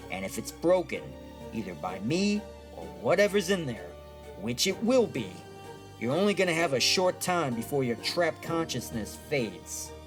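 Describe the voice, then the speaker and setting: A man voices a wisecracking psychic for an audio drama, slightly high for a male and very lively in pitch. He is gruff and impatient, with slangy wise-guy phrasing. Music plays clearly behind him. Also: moderate pace. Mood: grave, explaining.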